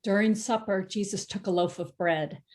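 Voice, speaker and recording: Woman calmly narrating scripture. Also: medium pitch, normal speed. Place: church sanctuary.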